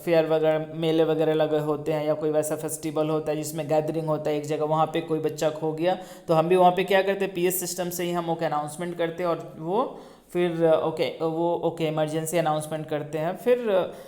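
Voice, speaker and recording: A man's voice: a little high, little pitch movement, fairly quick, mellow. A man with an Indian accent gives an informal slide lecture as a teacher, explaining point by point, mixing in English, repeating phrases and checking in with short tags. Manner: switches between Hindi and English, reading slide text aloud. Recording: roomy, echoing voice recording.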